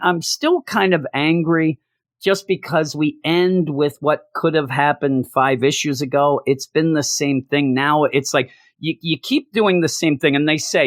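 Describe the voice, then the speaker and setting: A hoarse man reviewing a comic book, ranting in exasperation and repeating his complaints for emphasis. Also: on the high side, fairly bright tone, dry recording, studio quality.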